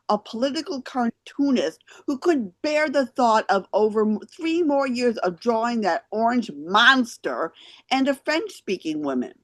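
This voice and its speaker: Female theater host and reviewer, fairly high voice, very lively intonation, expressive delivery. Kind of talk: interview chat and spoken theater reviews.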